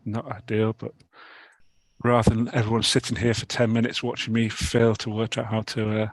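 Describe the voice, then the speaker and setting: A middle-aged British man with a cold, giving a talk over a video call, halting with many restarts. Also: lowish voice, completely flat delivery, no echo. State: has a cold, clears throat. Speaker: guest speaker. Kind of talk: online talk presentation.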